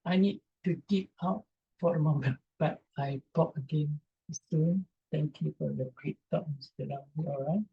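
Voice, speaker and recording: A man's voice: a little high, normal speed, vocal fry, husky, lo-fi sound. A man from Malaysia, presenting online and taking audience questions, reading them aloud and answering with hesitant fillers. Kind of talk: Q&A after an online talk. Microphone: video call.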